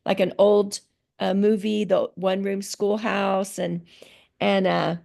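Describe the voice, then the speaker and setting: A middle-aged woman talks slowly and carefully on a video call, pausing often to gather her thoughts. Her voice has a gravelly, creaky texture. She answers in a relaxed, conversational way. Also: medium pitch. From grew up in Thailand. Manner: slow, thoughtful, with many pauses and fillers. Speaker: interviewee, teacher. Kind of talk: casual interview answers.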